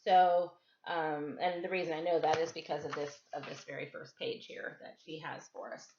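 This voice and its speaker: A woman's voice: deep voice, little pitch movement, normal speed, darkish tone, a little hiss or hum. A woman reading a storybook aloud for children at home, with casual asides to her listeners. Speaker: storytime reader. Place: indoors at home, kitchen and another room. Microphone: camera microphone. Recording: echoey room, slightly dull camera audio.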